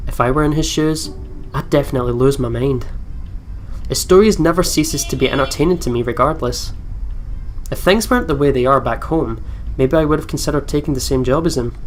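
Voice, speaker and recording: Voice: mid-range voice, brisk, a little hiss or hum. A let's play host reading game text aloud with casual commentary, over game music.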